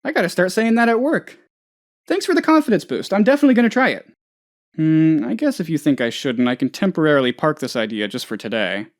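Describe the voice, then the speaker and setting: An actor playing a naive, anxious, scatterbrained office boss who rambles and gets sidetracked, in a video game. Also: male voice, a little high, very animated, fast talker. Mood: pleading, eager. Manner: rambling, absent-minded, easily sidetracked. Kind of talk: video game character voice lines.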